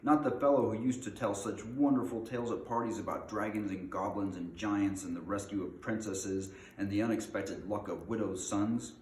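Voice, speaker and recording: A man's voice: fairly low pitch, steady tone, moderate pace, few pauses, a little mellow, close to an everyday voice. A man voicing a fussy, polite middle-aged hobbit who is easily flustered, with chatty old-fashioned phrasing, in a storybook reading. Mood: astonished, excited. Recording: roomy echo, faint background noise.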